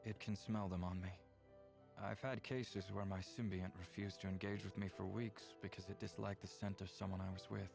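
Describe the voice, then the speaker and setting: A melancholy alien neighbor from a visual novel game. His voice is low and gravelly, and he talks gently, in measured, earnest phrases. Music plays underneath. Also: flat delivery. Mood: serious, wistful.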